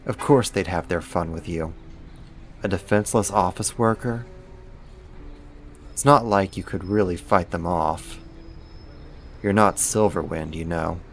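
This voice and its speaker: A man's voice: sits low, measured pace, pauses often, slight background noise. A man acting a casual, lightly teasing roommate who is secretly a supervillain, in a conversational ASMR roleplay. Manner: casual, laid-back, lightly teasing, slangy.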